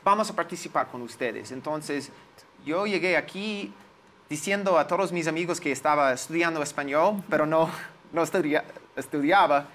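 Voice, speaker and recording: A man in his forties with an American accent, a native English speaker, recalling his experiences in an interview and pausing to search for words. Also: fairly high pitch, fairly bright tone, a little hiss or hum. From United States. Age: 40s. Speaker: lawyer, interview guest. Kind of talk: interview, personal recollection.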